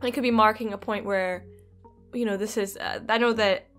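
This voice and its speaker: A young woman co-hosting a casual gaming chat, her voice going hoarse, talking thoughtfully with hesitations. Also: mid-range voice, steady tone, moderate pace, a bit bright. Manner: thoughtful, hesitant, laughs often. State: losing her voice. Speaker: gaming channel co-host. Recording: faint background music.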